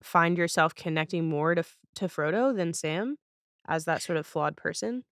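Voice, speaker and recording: Smooth, low-voiced woman hosting a podcast. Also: animated, normal speed, no room sound, studio quality. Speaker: podcast host, interviewer. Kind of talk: casual interview conversation.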